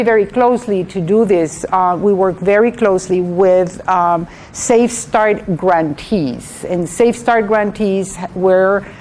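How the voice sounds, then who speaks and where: A woman with a Guatemalan accent giving a training talk as a guest speaker, in a halting way with repeated words. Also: medium pitch, darkish tone. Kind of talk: training presentation to an audience. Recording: clearly noisy live room recording.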